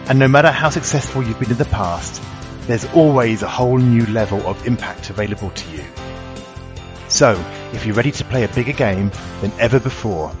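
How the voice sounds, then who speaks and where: A man's voice: medium pitch, a little fast, over music. A man hosting a podcast for executives, introducing his guest and interviewing her in an earnest way with frequent fillers. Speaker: podcast host and executive coach.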